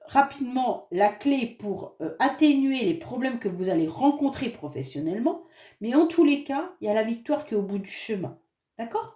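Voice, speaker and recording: A woman's voice: fairly high pitch, dark tone, echoey room. Woman with a cold reading tarot cards. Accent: French. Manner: chatty, reassuring, many fillers and hesitations. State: has a head cold. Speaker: tarot card reader. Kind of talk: monthly astrology card reading.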